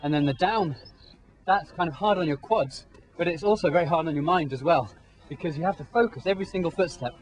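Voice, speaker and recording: Male runner, raised pitch, conversational and upbeat, outdoors. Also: fairly quick, rough recording. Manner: enthusiastic, conversational. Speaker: marathon runner. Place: outdoors at a race.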